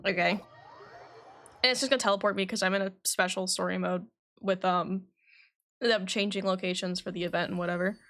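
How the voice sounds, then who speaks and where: A woman's voice: medium pitch, steady tone, brisk, long pauses, fairly bright tone. A woman livestreaming a game, chatting casually and reading story text aloud, stumbling and correcting herself. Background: game music.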